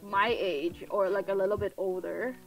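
A woman's voice: medium pitch, fairly even intonation, moderate pace, rough recording. A woman of nineteen from Thailand, joining friends on a game stream in easygoing, teasing chat. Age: late teens. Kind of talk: casual gaming chat. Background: game music.

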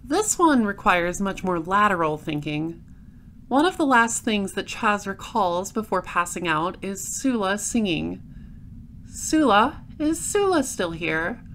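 Woman acting a slow-talking, sardonic space detective. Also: mid-range voice, sing-song, fairly bright tone, slight background noise. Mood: dry, sardonic. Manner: deliberate, explanatory, sardonic. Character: shrewd female space patrol detective, dry and sardonic. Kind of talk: audio drama acting.

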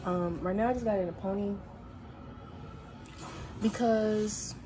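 A woman's voice: mid-range voice, measured pace, noisy background. A woman in her twenties chatting casually to her phone camera in her bedroom, laid-back and rambling, full of slang. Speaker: vlogger. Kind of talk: casual vlog chat to camera. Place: bedroom at home, indoors. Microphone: phone camera at arm's length.

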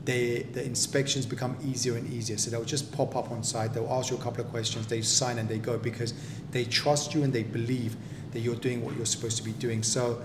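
Developer husband, measured and practical, roomy echo, low rumble behind. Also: male voice. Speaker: property developer and general contractor. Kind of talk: explaining in a relaxed home interview. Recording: echoey room with steady rumble.

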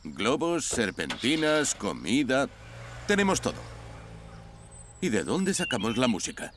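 Cast an eager friendly neighbour, swinging pitch. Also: male voice, big pitch swings, measured pace, background noise. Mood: cheerful. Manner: cheerful, eager. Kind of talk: cartoon dubbing.

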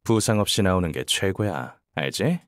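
Casting: cocky young female battle announcer in a game, animated delivery, informal blunt banter, dry studio recording. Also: a bass voice, normal speed, no echo. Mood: casual, teasing. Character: cocky, casual young military operations announcer. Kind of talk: scripted game voice acting, battle commentary.

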